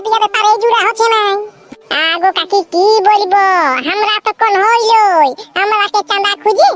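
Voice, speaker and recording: A performer voicing a lively, bossy and playful cartoon villager in comic Bengali with a Purulia accent. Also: very high voice. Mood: grumbling. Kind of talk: comic regional cartoon dialogue. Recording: music under the voice. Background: music.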